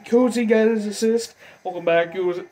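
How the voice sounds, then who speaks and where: A young man giving a casual game recap from notes, speaking haltingly and stumbling over names and figures. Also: high voice, a little mellow. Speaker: hockey fan vlogger. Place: dim bedroom, seated at desk.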